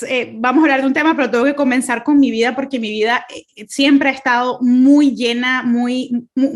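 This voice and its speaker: A woman in her thirties from Caracas with a Venezuelan accent, giving an earnest faith talk over a video call, with many fillers and restarts. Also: a little high. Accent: Venezuelan Spanish, Caracas. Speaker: guest speaker.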